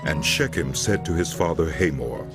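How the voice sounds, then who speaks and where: A man narrating scripture from a script as a solemn, measured storyteller. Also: rumbling low, expressive, vocal fry, over music.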